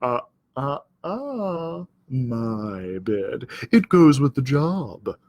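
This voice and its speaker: A man's voice: mid-range voice, big pitch swings, darkish tone, no room sound, full character voice. Man acting out a sly, drawling, smug cat. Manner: drawling, falsely sympathetic, deliberate. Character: sly, smug, silky male cat scheming against a dog. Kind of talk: children's storybook character voice.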